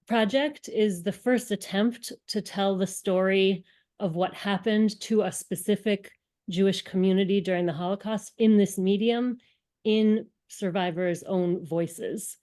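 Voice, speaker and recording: A woman's voice: on the low side, a little mellow. Earnest woman giving a lecture. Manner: earnest, explanatory, with frequent ums. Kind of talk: synagogue lecture presentation.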